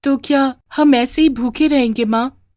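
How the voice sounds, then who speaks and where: A woman voicing a sensible, hopeful young daughter who talks earnestly and reassuringly in an animated moral story. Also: high-pitched, unhurried, no echo, clean studio sound, pitched above the performer's own voice. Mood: worried.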